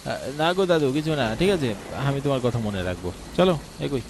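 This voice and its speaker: A headstrong, curious young grandson from a village horror cartoon, acted by a man. His voice lifts as he pleads and brushes off superstition, with clear rain and storm noise behind him. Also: mid-range voice, animated, fairly quick, voice pushed up, natural voice. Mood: placating. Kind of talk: Bengali horror cartoon dialogue.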